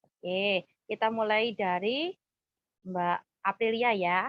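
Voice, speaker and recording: A woman, leading an informal group session in a halting, hesitant way. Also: mid-range voice, no room sound. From Purworejo, Central Java, Indonesia. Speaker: group counseling facilitator. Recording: dull, muffled lo-fi sound.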